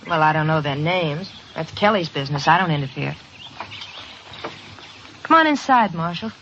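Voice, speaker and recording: Brash, animated frontier wife. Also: female voice, low-pitched, normal speed. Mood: wary, evasive. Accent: American. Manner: brash, folksy, evasive. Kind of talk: old-time radio western acting. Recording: dull old radio recording, faint noise.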